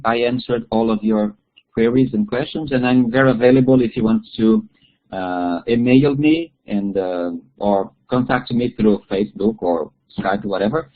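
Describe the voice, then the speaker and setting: Husky man, non-native English, halting restarts, tinny video-call sound. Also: medium pitch. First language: not English. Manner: halting, many restarts and repeated words. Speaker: guest speaker, Bible study facilitator. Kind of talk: webinar talk answering questions. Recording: tinny online call audio.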